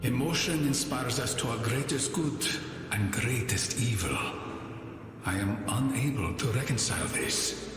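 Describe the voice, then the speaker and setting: An actor playing a calm, sorrowful scientist-healer in a video game, speaking in a measured, formal, grave way. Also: male voice, mid-range voice, natural voice. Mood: solemn, philosophical. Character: calm, solemn male healer and scientist, gently sorrowful, philosophical. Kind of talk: scripted video game character voice lines. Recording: echoey long reverb, music behind.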